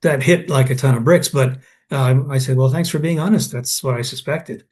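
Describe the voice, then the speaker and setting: A man's voice: mid-range voice, normal speed, darkish tone. Older man recalling his career. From Canada. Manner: rambling, anecdotal, many restarts and fillers. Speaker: interviewee. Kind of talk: oral history interview.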